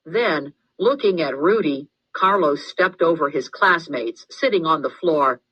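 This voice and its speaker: A woman reading a children's story aloud as scripted narration, in an expressive, deliberate way. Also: sits low, slightly rough, muffled recording. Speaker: storybook reader.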